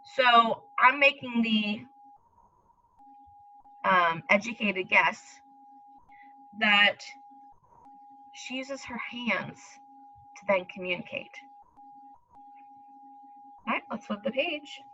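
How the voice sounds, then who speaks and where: A woman's voice: a little high, drawn-out words, pauses often, pushed and bright, lo-fi sound, slight background noise. A woman teacher leading a read-aloud lesson for young children, explaining step by step and speaking directly to them. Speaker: elementary school teacher.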